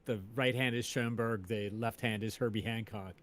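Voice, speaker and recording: A man's voice: lowish voice, fairly even intonation, normal speed, a little hiss or hum. Male livestream host chatting casually. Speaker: stream host.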